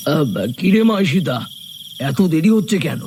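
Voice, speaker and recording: A man's voice: on the high side, lively intonation, measured pace, a little mellow, a little hiss or hum. A man voicing an anxious, doting older father in a horror cartoon, fussing and repeating his words. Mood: worried, tender. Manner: fussy, repeats short words. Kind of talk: animated horror cartoon dialogue.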